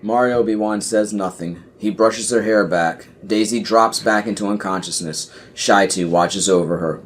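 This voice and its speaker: A man's voice: on the low side, moderate pace. Man narrating a script over faint noise. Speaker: podcast narrator. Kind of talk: scripted narration of screenplay directions.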